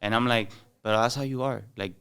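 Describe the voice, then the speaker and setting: Young man, miami cuban-american accent, very smooth clear voice, slangy casual podcast talk with spanish mixed in. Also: medium pitch, fairly even intonation, fairly quick, a bit bright. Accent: Miami Cuban-American English. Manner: loose slangy talk mixing in Spanish, many "like"s and "bro"s. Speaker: podcast guest, comedian. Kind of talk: casual chat.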